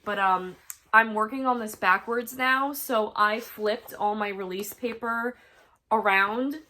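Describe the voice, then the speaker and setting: A woman's voice: on the high side, slow, bright, forceful tone. A young woman from the US chatting casually to her viewers about her crafts, stuffed up from a cold and laughing often. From United States. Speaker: crafting vlogger. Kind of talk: casual chat to camera. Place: her bedroom at home.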